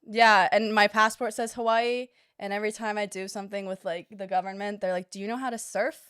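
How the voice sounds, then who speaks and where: A young woman from the United States, chatting as a podcast guest in a quick, self-deprecating way. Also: mid-range voice, steady tone, fairly bright tone, clear, resonant tone. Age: young adult. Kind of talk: casual chat.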